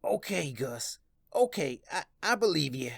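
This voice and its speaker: A woman playing an excitable, greedy, gullible male gambler in a radio play, animated and slangy. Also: low voice, unhurried, gravelly, no echo, studio quality, exaggerated voice. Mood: nervous, placating.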